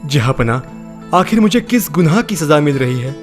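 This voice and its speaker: A man playing an unlucky commoner, pleading and deferential yet clever, in a children's animated story. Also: fairly high pitch, normal speed, faint music behind.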